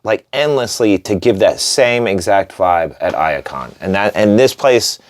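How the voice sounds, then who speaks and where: A man's voice: lowish voice, lively intonation, unhurried. A man hosting a casual interview, talking informally and often chiming in with short agreeing interjections. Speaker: podcast host. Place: recording studio.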